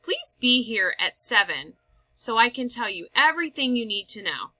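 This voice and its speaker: A woman reading as a brisk, displeased mother, bright-voiced. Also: a little high, sing-song, exaggerated voice. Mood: stern, hurried. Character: brisk, displeased mother instructing her babysitter. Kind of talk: storybook read-aloud.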